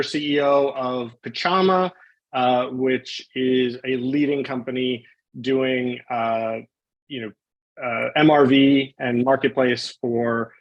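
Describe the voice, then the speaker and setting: A man's voice: medium pitch, highs cut off. A man hosting a live online interview on a video call, friendly and informal as he introduces his guest. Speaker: host, webinar moderator.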